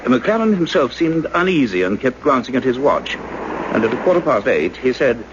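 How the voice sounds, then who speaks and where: A man acting as a reserved, observant scientist in a radio play, speaking in a measured, polite, formal way. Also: mid-range voice, big pitch swings, lightly acted. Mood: ominous, reflective. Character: courteous visiting scientist and story's narrator, reserved, observant. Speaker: narrator and character. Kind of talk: radio play narration and dialogue. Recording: noisy, dull radio drama recording.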